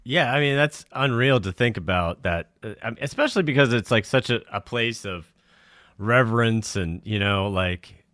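A man's voice: mid-range voice, normal speed, no room sound, studio quality. A man co-hosting a morning radio show, chatting animatedly and acting out his reactions, still congested from an illness. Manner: animated, acts out quoted reactions. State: recovering from covid, congested. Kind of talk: casual radio chat.

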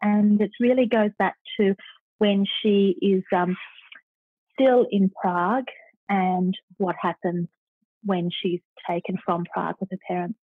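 A woman's voice: mid-range voice, steady tone, measured pace, slightly rough, dry recording. A middle-aged Australian woman author, talking thoughtfully in an interview and stopping and restarting as she goes. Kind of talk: interview and reading aloud. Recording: dry, phone-like line.

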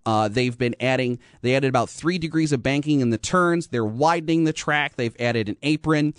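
An American man hosting a motorsport radio show, talking informally and haltingly, often rephrasing mid-sentence. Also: medium pitch, smooth, no echo, clean studio sound. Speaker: radio host. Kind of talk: motorsport talk radio monologue.

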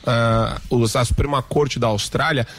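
A man on a political panel who puts a long, halting question to a guest. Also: mid-range voice, fairly even intonation, fairly bright tone, no room sound. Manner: halting, restarts while questioning.

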